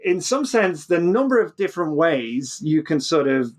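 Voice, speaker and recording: Higher-pitched man, stammering explainer. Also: big pitch swings, normal speed. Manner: stammering, repeats words and restarts often. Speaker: guest physicist. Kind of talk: conversational explanation in an interview.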